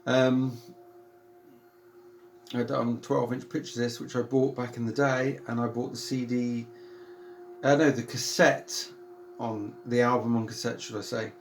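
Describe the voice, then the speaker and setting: Calm, gravelly British man chatting. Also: medium pitch, measured pace, pauses often, a little hiss or hum. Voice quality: calm, soothing voice. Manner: rambling and chatty, with many ums and self-corrections. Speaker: vinyl collector and channel host. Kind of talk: casual unboxing chat.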